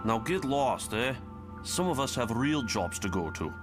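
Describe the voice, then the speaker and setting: A man's voice: mid-range voice, sing-song, measured pace, music in the background. A man voicing a big, resentful, weary mutant strongman in a motion comic.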